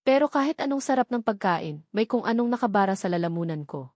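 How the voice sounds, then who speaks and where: A woman with a Filipino accent reading a first-person dramatic love story in an emotive way, with short deliberate phrases. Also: medium pitch, expressive, a little mellow, no room sound, studio quality. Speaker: story narrator.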